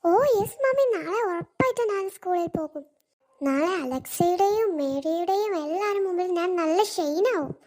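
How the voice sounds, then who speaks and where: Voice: extremely high pitch, animated, moderate pace, a little mellow. A performer voicing an eager, vain little cartoon schoolgirl with a childish, exclamatory delivery. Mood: excited, boastful. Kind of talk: scripted cartoon dialogue.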